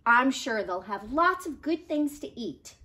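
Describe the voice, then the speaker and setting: A woman voicing a patient, reassuring mother in a storybook, gentle and encouraging. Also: high voice, lively intonation, a little slow, full character voice.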